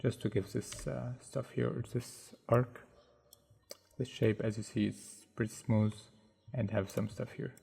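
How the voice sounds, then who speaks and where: Man, low-key tutorial host thinking aloud; husky, mellow voice, soft music behind. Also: fairly even intonation, a little slow. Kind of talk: casual screen-recorded modeling tutorial. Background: soft background music.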